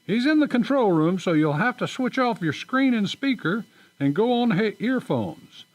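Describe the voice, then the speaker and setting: A man's voice: sits high, very animated, moderate pace. A man acting a flippant, reluctant government official in a radio drama. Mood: grudging.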